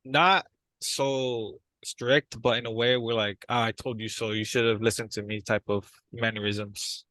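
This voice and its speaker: A man's voice: mid-range voice, flat delivery, measured pace, a bit bright, close to an everyday voice, rough recording. An actor playing an earnest younger brother in a therapy session, groping for words as he speaks. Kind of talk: improvised dramatized therapy session.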